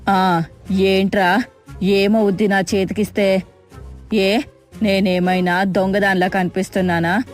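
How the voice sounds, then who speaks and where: Scheming middle-aged mother-in-law, played by a woman, wheedling, aggrieved storybook drama, medium pitch, music underneath. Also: natural voice. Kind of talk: acted moral story voice-over.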